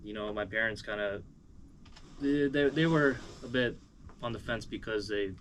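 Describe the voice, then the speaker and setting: A man's voice: on the low side, moderate pace, fairly bright tone, a little hiss or hum. A man with an American accent giving a casual, rambling interview as a musician. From moved as a small child from Uzbekistan, raised in Cleveland, USA.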